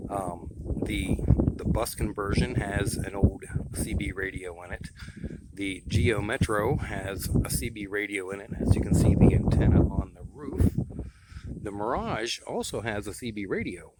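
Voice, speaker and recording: A man's voice: on the low side, lively intonation, unhurried, heavy background noise. A middle-aged man talking casually and plainly, like an informal hobby vlogger showing off his gear. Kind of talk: casual vlog talk.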